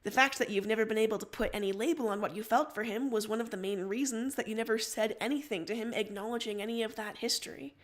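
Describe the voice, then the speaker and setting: A woman's voice: fairly high pitch, fast talker, hardly any pauses. A woman hosting a livestream, reading stories aloud and chatting casually. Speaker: livestream host and story reader. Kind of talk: story narration and casual livestream chat.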